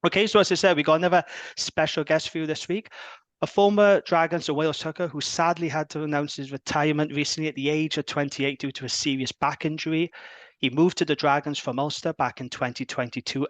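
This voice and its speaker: A man's voice: fairly high pitch, fairly bright tone, no room sound. Upbeat podcast host man. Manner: chatty and enthusiastic.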